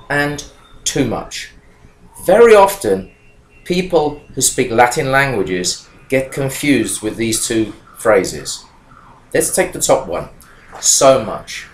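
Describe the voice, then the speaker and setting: A man in his fifties teaching English to learners, explaining grammar in a small room with a webcam, talking emphatically. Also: mid-range voice. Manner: animated, emphatic, gestures while talking. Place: small room indoors with whiteboard. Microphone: webcam at desk. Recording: echoey room, faint background noise.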